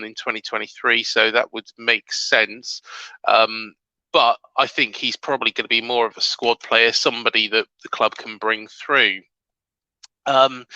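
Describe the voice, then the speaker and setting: A man with a slightly croaky voice hosts a football fan show, talking casually and hesitantly as he thinks aloud. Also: mid-range voice, sharp tone, no echo, highs cut off. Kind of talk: casual transfer-rumour commentary to camera.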